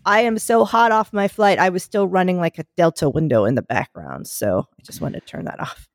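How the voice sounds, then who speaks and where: A woman's voice: on the low side, lively intonation, a little fast, dry recording, studio quality, soft background music. A woman co-hosting a podcast in casual chat, her voice smoky and scratchy from a sore throat, telling stories with lots of restarts. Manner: chatty, rambling storyteller with many restarts. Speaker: podcast co-host.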